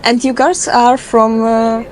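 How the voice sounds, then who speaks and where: A woman's voice: a little high, little pitch movement, slow pace. A woman from Poland with a Polish accent, chatting casually on location as a travel vlogger interviewing locals. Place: busy cafe. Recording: busy cafe chatter behind.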